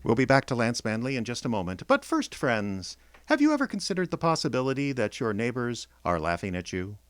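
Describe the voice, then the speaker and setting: A man hosting a radio theater show, announcing from a script with theatrical, deliberate phrasing. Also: medium pitch, wildly swooping pitch. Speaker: announcer and host.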